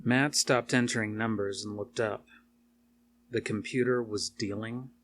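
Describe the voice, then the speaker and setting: Low-voiced male story narrator. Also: steady tone, unhurried, fairly bright tone, slight background noise. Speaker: audiobook reader. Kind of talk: scripted narration of an action horror novel.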